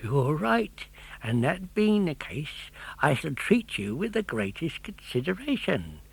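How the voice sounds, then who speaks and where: Man as a gloating fisherman, high and swooping. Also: sing-song, a little slow, gravelly, husky, heavily acted, low-quality recording. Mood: mock courteous. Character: greedy, gloating, hot-tempered fisherman, amazed by his catch. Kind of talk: audiobook character voice.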